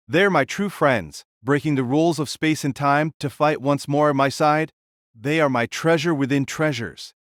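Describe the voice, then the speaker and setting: A man's voice: a little high, no echo, clean studio sound, lightly acted. A man voicing a proud, commanding warrior king in an audio drama, with grandiose, declamatory delivery.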